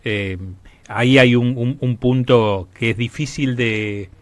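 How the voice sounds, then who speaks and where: Conductor argentino, sonido seco de estudio. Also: male voice, mid-range voice, steady tone, slow, no room sound. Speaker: radio host. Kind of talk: casual interview chat.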